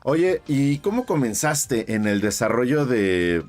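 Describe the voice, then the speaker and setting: A man's voice: medium pitch, very animated, takes it slowly, darkish tone, soft background music. A man from Mexico, hosting his channel's interview in a chatty, effusive way with lots of fillers. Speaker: YouTube channel host.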